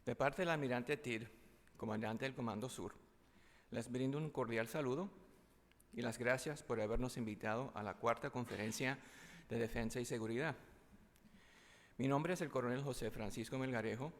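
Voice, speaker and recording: A man's voice: mid-range voice, moderate pace, pauses often. A man from the United States opening a formal conference presentation, polite and courteous, modest about his own Spanish. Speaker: military conference speaker. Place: large conference hall. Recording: long hall reverb.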